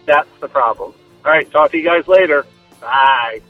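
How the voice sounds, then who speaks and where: A man's voice: fairly high pitch, moderate pace, no room sound, soft background music. A man with a South Jersey accent leaving a casual, emphatic, opinionated voicemail over the telephone. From South Jersey, United States. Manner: emphatic, explanatory. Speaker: listener calling in. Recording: tinny phone voicemail, narrow band.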